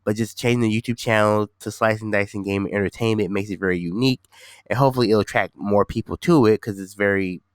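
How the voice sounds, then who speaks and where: A fairly low-pitched man talks through his solo podcast, his voice nasal and stuffed up from a cold. He rambles easily, circling back and repeating himself, and the close, dry recording keeps his voice clean and smooth. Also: fairly even intonation, fast, no room sound. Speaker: podcast host. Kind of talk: casual solo podcast talk.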